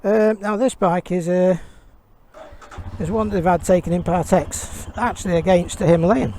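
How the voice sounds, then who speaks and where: Casting: croaky, high-voiced man, hesitant rambling rider, clear road noise behind. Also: moderate pace, darkish tone. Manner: rambling, hesitant, lots of ums. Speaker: motorcycle reviewer. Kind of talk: casual riding vlog. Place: outdoors on a motorcycle.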